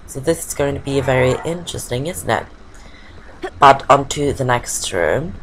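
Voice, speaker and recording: A woman's voice: extremely low pitch, a little hiss or hum, poor audio. A woman giving casual let's play commentary, chatty and explaining each step with plenty of fillers.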